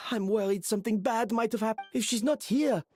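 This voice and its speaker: A woman playing an earnest, conscientious and concerned colleague in an anime game, with a slightly husky voice. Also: on the high side, normal speed, a little mellow, no room sound, studio quality, lightly acted. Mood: worried.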